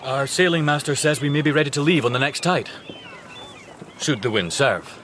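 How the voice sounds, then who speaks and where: An actor playing an evasive, falsely cheerful and impatient Victorian gentleman bridegroom in a period radio drama. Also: on the high side, lively intonation, normal speed, a little hiss or hum. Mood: brisk.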